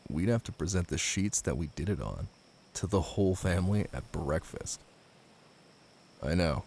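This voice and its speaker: A man's voice: creaky, darkish tone, a little hiss or hum. A man playing a brooding, possessive young mafia heir and new husband in an intimate roleplay audio drama, blunt and commanding yet protective. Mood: wry. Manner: blunt, commanding, swearing, low-key intimate delivery.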